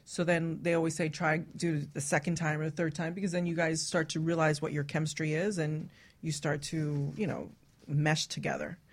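A woman's voice: low-pitched, level tone, moderate pace. A woman co-hosting a radio talk show, speaking frankly and casually in a group chat. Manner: frank, explicit.

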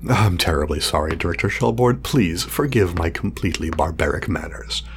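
An actor playing a meticulous male scientist in an audio drama, speaking formally and wordily, halting when flustered. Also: low voice, expressive, slight background noise. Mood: apologetic. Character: meticulous, fussy male scientist testing his drone creation.

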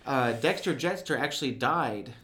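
A man co-hosting a casual chat, enthusiastic and a little hoarse and raspy from yelling. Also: mid-range voice, expressive. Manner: enthusiastic, does quick impressions of characters. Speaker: podcast co-host. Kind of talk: casual chat ranking favorite voices.

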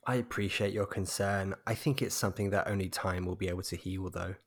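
A man's voice: deep voice, steady tone, fairly quick, husky. A man playing an anxious, politely evasive bereaved husband in an audio drama, speaking hesitantly. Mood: polite, guarded.

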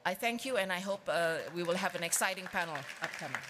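Indonesian woman giving a measured speech. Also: on the low side, a loud crowd, heavy background noise. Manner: measured, with frequent fillers. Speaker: speaker. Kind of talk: conference keynote speech. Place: large conference hall.

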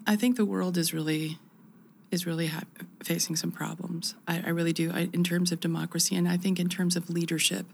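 A woman in her fifties from the United States talks through her experiences in a reflective, animated way, often backing up to restart a thought. Her voice sits a little low and carries a gravelly edge. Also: a little hiss or hum. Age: 50s. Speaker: interview guest. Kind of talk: conversational interview.

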